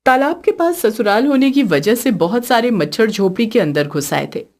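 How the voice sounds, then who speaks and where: A woman's voice: on the high side, lively intonation, normal speed, darkish tone. A woman giving scripted narration as the storyteller of a cartoon tale.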